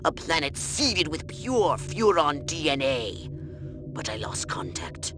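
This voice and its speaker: A man's voice: on the high side, huge pitch swings, takes it slowly, full character voice. A man voicing a haughty, exasperated alien scientist in a video game, with a pompous, condescending, theatrical delivery. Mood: grave. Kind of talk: video game cutscene acting. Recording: music behind.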